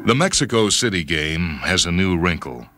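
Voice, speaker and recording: A man as a deep-voiced, brooding ageing athlete. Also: lively intonation, moderate pace, music in the background. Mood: reflective. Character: ageing, tough, anxious male star athlete narrating his story. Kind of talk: dramatic first-person short story reading.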